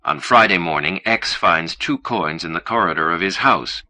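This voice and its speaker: A man reading literary fiction as an audiobook narrator, in a measured, formal and precise manner. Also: low voice, sharp tone, dry recording.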